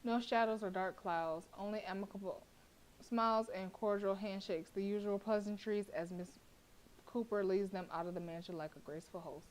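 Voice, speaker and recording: A woman streamer reading a horror visual novel aloud with expressive narration, breaking off to react and laugh. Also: medium pitch, moderate pace, low-quality recording.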